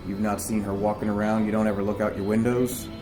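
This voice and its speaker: A man's voice: fairly low pitch, little pitch movement, fast, over music, natural voice. An actor playing a domineering small-town police investigator in an audio drama, a man questioning in a blunt, folksy, probing way. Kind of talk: fiction podcast audio drama dialogue.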